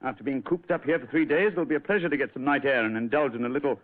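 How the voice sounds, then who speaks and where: An actor playing a clever, wry gentleman detective in an old-time radio drama, with a British accent and an urbane, facetious manner. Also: medium pitch, quick pace, close to an everyday voice. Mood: wry, genial. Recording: tinny, band-limited old radio recording.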